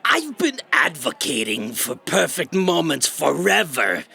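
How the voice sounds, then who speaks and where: A pompous, aggrieved little villain in a sci-fi audio comedy. His voice is raspy and forceful, swooping theatrically as he drawls out his sneering grievances. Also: a little high, wildly swooping pitch, unhurried, exaggerated voice. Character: invisible busboy, self-important aggrieved would-be villain writer.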